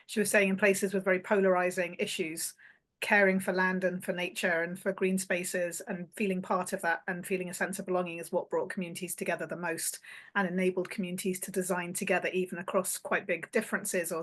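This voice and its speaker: Woman in her thirties hosting an online panel. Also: fairly low pitch. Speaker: panel host. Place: home study with bookshelves. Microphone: laptop webcam on a video call.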